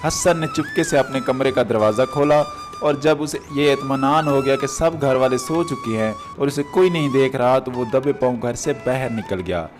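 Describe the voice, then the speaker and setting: A man's voice: mid-range voice, darkish tone. A man narrating a moral story for children as a storyteller. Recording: music behind the voice.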